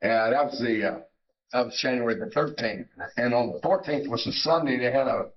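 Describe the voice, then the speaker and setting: An older man, a Navy veteran, reminiscing in an interview, halting with many fillers and restarts. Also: mid-range voice, lo-fi sound.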